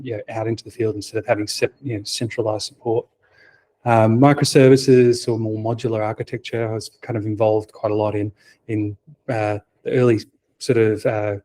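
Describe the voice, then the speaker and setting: A middle-aged man giving a technical conference talk, soft-spoken with a quiet voice. He hesitates often, fills with "um" and restarts, and drops wry asides. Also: mid-range voice. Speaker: conference speaker.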